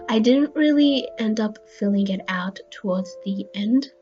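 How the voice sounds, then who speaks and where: A woman, a little croaky from being sick, narrating her journal setup in a soft, chatty and enthusiastic voice-over. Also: mid-range voice, a little slow, highs cut off. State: slightly croaky from illness. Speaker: bullet journal video creator. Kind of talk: voice-over narration of a crafting video. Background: faint background music.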